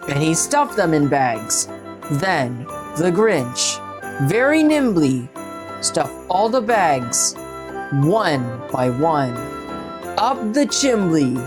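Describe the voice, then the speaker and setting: A woman narrating a children's storybook aloud in an expressive, dramatic way, lingering on repeated words. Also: sits low, very slow, music in the background.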